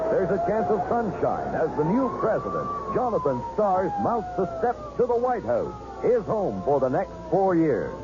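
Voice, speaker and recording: An actor playing an excitable live inauguration commentator with a dramatic sportscaster delivery in a satirical radio play. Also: male voice, on the high side. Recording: muffled radio sound, heavy street noise.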